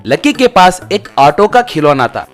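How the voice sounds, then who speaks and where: A man's voice: high-pitched, sing-song, measured pace, fairly bright tone, soft background music. A man narrating a scripted, children's-style story.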